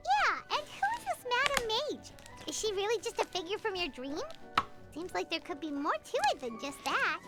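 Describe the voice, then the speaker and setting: A woman's voice: very animated, moderate pace. An actress voicing a tiny childlike female fairy companion in a video game, chirpy, cheeky and inquisitive, with a cutesy, blunt delivery. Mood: curious, skeptical. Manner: cutesy, squeaky, blunt and teasing. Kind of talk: scripted video game character dialogue. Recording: loud game music behind the voice.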